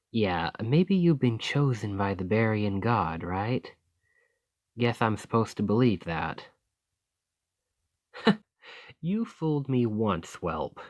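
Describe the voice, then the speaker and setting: A roguish male bandit leader acted by a man talks in an unhurried, folksy way, with pitch that swings freely. He is teasing and grudgingly impressed, speaking one side of an ASMR roleplay conversation. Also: no echo. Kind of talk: ASMR roleplay acting, one-sided dialogue.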